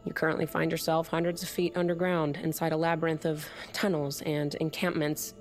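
An actress as defiant underground rebel leader, low-voiced. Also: female voice, little pitch movement, fairly quick, soft background music, close to an everyday voice. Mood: earnest, ideological. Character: defiant woman leading an underground separatist movement, cynical idealist. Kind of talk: audio drama acting.

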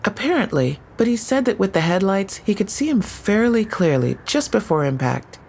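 An actor playing a young police officer who reports the facts of a case crisply and precisely. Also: medium pitch, very animated, normal speed, darkish tone. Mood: matter-of-fact. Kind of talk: audio drama acting.

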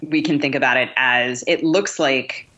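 A woman speaks as an interview host in a casual, conversational way. Her voice is bright and pressed, heard through slightly dull, lo-fi audio. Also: rumbling low, moderate pace. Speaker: podcast host.

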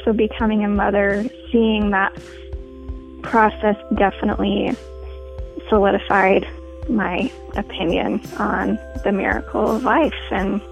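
A woman in her twenties records a personal message as a radio listener. She speaks slowly and hesitantly with little change of pitch, over faint music. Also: little pitch movement, creaky, poor audio. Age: 20s.

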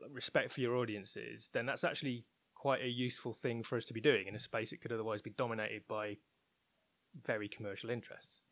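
A man's voice: mid-range voice, fairly bright tone, no room sound. A man talking as an interview guest, explaining his opinions informally and candidly, thinking aloud as he goes. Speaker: interview guest, technology researcher at a broadcaster.

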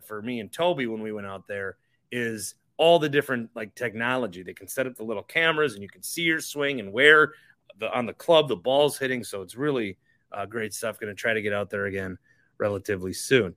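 Give this a man with a bright, forceful voice, recorded dry and close. He hosts a casual sports podcast and talks bluntly, full of opinions. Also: medium pitch, lively intonation, brisk, dry recording. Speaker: podcast host.

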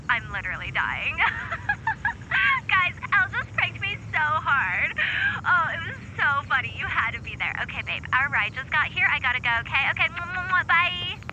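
A woman's voice: very high voice, huge pitch swings, normal speed, highs cut off, heavy background noise, full character voice. A swooping, gushing young socialite woman. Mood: delighted, hysterical. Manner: dramatic, gushing, exaggerated. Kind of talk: audio-drama acting, gossipy phone chat.

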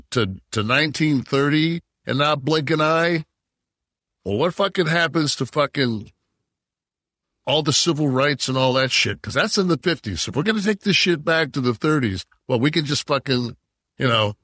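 A man's voice: fairly high pitch, lively intonation, moderate pace, a bit bright, no echo, clean studio sound. A man in his sixties from Detroit, co-hosting a podcast and talking in a heated, profane, rambling way. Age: 60s. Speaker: podcast co-host. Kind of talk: casual chat.

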